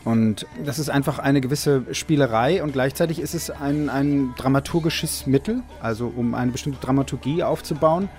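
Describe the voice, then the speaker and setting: Male interviewee, mid-range voice, reflective, halting, faint music underneath. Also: mid-range voice, a little mellow.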